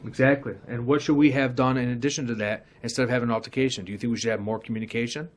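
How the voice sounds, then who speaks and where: Man as stern school administrator, brisk and instructing, amateur drama, medium pitch, slight background noise. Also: steady tone, close to an everyday voice. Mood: stern, firm. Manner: firm, formal, instructing. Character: stern, orderly male school disciplinarian. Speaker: school administrator questioning students.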